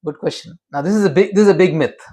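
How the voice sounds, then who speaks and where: A man's voice: sits high, racing, mellow, slightly rough. A man being interviewed as a memory expert, explaining in an emphatic, teacherly way and often asking rhetorical questions.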